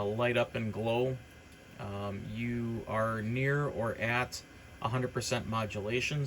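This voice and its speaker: A man's voice: on the low side, fairly bright tone. A man giving an informal, unscripted product walkthrough as a hobbyist presenter, explaining features in a hesitant way. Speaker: hobbyist presenter demonstrating radio gear. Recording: clearly noisy background.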